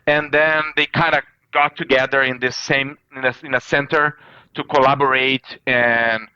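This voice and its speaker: A man in his thirties from Brazil with a Brazilian accent, a professor answering interview questions in halting speech full of fillers and restarts. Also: mid-range voice, little pitch movement, pushed and bright, clear, resonant tone, highs cut off. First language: Portuguese. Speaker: professor guest.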